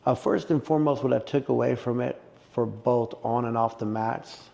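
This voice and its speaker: A middle-aged man with a mellow, gravelly voice, talking jiu-jitsu in a relaxed interview. He tells his stories with plenty of feeling and jumps up in pitch and volume when something excites him. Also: moderate pace. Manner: animated, emotional storyteller who gets loud and high when excited. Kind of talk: casual podcast interview.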